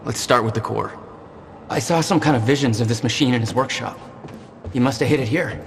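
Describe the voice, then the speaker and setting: A man's voice: medium pitch, fairly quick, gravelly, slight background noise, natural voice. An actor playing an impulsive, determined American man, perhaps in his thirties, in a naturalistic video game performance. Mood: urgent, hopeful. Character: impulsive, determined young man, hero of time-travel thriller. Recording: reverberant hall, music behind.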